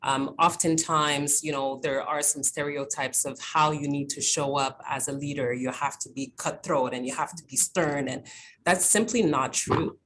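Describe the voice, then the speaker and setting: A woman's voice: low voice, steady tone, moderate pace, fairly bright tone, slight background noise, poor audio. A woman panelist on a video call, speaking fluently and reflectively. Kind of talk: panel discussion.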